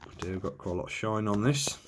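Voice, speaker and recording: A man's voice: fairly low pitch, animated, fast talker, a little hiss or hum. A man giving a casual, unscripted hands-on demonstration, thinking aloud in a hesitant, tentative way. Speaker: presenter of a radio equipment demonstration.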